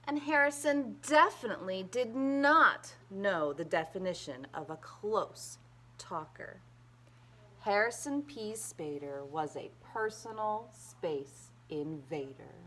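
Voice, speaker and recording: A woman reading a children's picture book aloud in an expressive, emphatic storytelling manner. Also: medium pitch, takes it slowly, a little hiss or hum.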